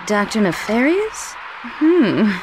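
A woman's voice: on the high side, sing-song, slow, background noise. A woman voicing a seductive, scheming robot pop diva in a video game, sultry and coy. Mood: flirtatious.

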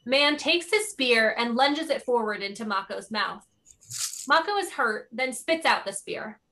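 A woman's voice: on the high side, animated, unhurried, sharp tone. A woman giving scripted narration, reading out the stage directions of a play.

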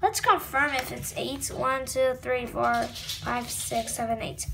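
A very high-pitched child reads a comic book aloud at a slow pace. The reading stumbles and drifts into asides, with clear noise in the background. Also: steady tone, bright, forceful tone. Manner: stumbles over words, wanders off into asides. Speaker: read-aloud video host. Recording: noisy home recording with room ambience.

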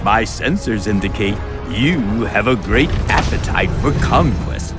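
An actor playing a formal, servile robot in a measured, mechanical manner. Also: male voice, fairly low pitch, big pitch swings. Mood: formal, menacing. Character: formal, servile robot machine greeting its new master. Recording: distant voice under loud music.

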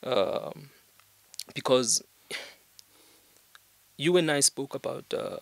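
A man's voice: mid-range voice, animated, very slow, creaky, dry recording. A young man with a South African accent, explaining things earnestly in a podcast conversation and repeating words to drive a point home. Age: young adult. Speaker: podcast guest. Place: small indoor podcast studio. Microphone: microphone on a stand.

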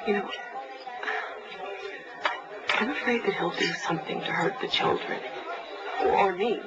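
Actress: wounded, worried wife, halting and sincere, audio drama on noisy, dull tape. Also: female voice, on the low side, lightly acted. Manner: hesitant, earnest. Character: anxious, wounded wife and mother. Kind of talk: naturalistic audio drama acting. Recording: muffled noisy tape with background chatter.

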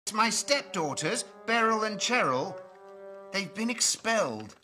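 A man's voice: sits high, very animated, unhurried, bright, forceful tone, soft background music, full character voice, poor audio. An actor playing an exasperated middle-aged baron and stepfather in a comic pantomime, on a video call.

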